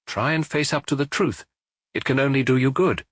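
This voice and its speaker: Man playing a pressing French police inspector, husky. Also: medium pitch, brisk, fairly bright tone, dry recording, studio quality, voice pushed up, lightly acted. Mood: grave, sympathetic. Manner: insistent, probing questions. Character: French police inspector interrogating a suspect, firm, pressing, sympathetic.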